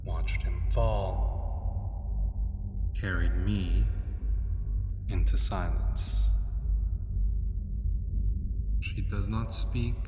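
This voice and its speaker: Man playing a deep, slow, brooding dragonrider commander. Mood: solemn reverence. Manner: hushed, solemn, deliberate with dramatic pauses, at times whispering. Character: brooding battle-scarred male dragonrider commander, solemn and intense. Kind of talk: fantasy romance ASMR roleplay. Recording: echoey long reverb with music behind. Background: music.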